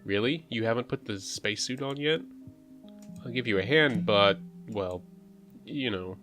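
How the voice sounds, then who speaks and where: A man's voice: fairly low pitch, unhurried, fairly bright tone. A man reading the lines of a terse, secretive medical AI companion from a sci-fi visual novel, matter-of-fact and urging. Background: music.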